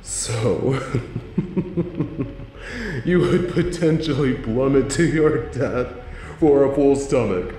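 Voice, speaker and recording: A man playing a playful, sinister supervillain in an audio drama, teasing and gloating with theatrical, drawn-out emphasis. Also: mid-range voice, dark tone, echoey room, lightly acted. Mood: teasing menace. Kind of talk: scripted audio roleplay villain monologue.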